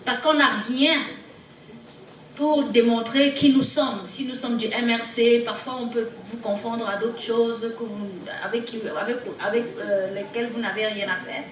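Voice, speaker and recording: A woman presenting a group report to a party meeting, halting and repeating words as she goes. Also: on the high side, steady tone. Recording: echoey hall, distant mic, background noise.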